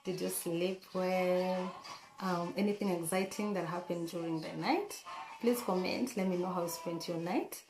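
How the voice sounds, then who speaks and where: Hoarse woman vlogging in an echoey room. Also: lowish voice, a little slow. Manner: chatty, earnest, many fillers and restarts. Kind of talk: casual talk to camera.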